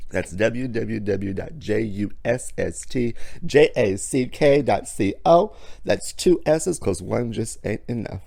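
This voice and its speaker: Hoarse, raspy, sassy man hosting. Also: on the low side, slow pace, darkish tone, no echo. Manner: sassy, theatrical, calls listener honey and darling. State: hoarse from illness. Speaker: podcast host. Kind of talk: motivational monologue.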